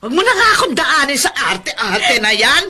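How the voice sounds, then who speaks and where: A woman's voice: sits high, very animated, moderate pace, sharp tone, exaggerated voice. A woman playing a sour, nagging older aunt in a Filipino radio drama, scornful and exclamatory.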